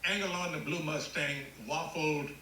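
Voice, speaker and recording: A man's voice: a little high, fairly even intonation, moderate pace, bright, forceful tone. A man reading stage directions as scripted narration at a table read. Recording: roomy, hissy video call.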